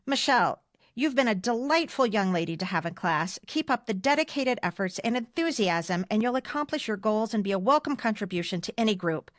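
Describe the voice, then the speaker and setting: A woman's voice: medium pitch, expressive, brisk, no room sound, clean studio sound. An American woman in her fifties, a podcast co-host telling stories casually with wry emphasis. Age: 50s. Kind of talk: casual, chatty storytelling.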